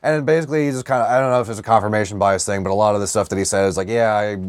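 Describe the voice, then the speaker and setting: A man's voice: on the low side, steady tone, racing, smooth, dry recording, clean studio sound. Slangy man in casual podcast chat. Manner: loose, slangy, profane. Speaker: podcast co-host.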